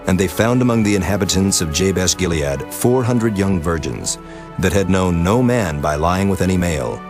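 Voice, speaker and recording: A man narrating a dramatized scripture reading in a solemn, measured way, with music behind. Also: deep voice, lively intonation. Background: background music.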